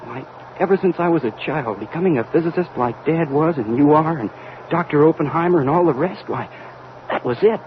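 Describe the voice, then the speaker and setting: A male actor plays a young physicist serving as a rocket's radio operator in an old radio play. His slightly high voice is earnest, deferential and halting. The recording is muffled, with plenty of hiss and rumble. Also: close to an everyday voice. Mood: hesitant, earnest. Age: young adult. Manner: hesitant, respectful, earnest. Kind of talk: vintage American radio drama acting.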